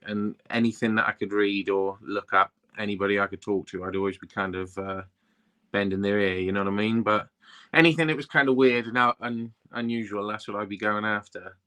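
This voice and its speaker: A man's voice: on the low side, sharp tone. A young Welsh man, a tarot reader, chatting in a rambling, laid-back way, full of "you know what I mean". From Wales. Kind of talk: casual interview chat.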